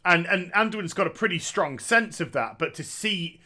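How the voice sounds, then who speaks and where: Man, thirties, bright tense voice, a bit high, sarcastic game commentary, headset mic. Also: lively intonation, normal speed. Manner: sarcastic, opinionated, jokey asides. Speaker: gaming commentator. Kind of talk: casual opinion commentary. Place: small room at home.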